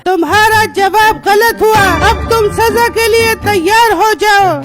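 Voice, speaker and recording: A woman's voice: very high voice, unhurried, over loud music. A woman voicing a bossy, challenging owl who guards a forest in a children's cartoon. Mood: stern, challenging. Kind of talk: cartoon character acting.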